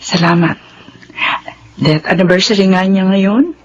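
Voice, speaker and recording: A woman's voice: mid-range voice, unhurried, highs cut off, slight background noise, natural voice. A middle-aged woman playing an earnest, defensive and emotional widowed mother and boss in a Filipino radio drama. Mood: shy.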